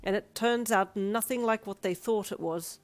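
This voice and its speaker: A woman's voice: mid-range voice, moderate pace, soft background music, pitched above the performer's own voice, natural voice. Woman acting a cheery Irish piercer bloke. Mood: friendly. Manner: chummy, colloquial, cheery. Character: friendly Irish man, piercer and tattoo artist.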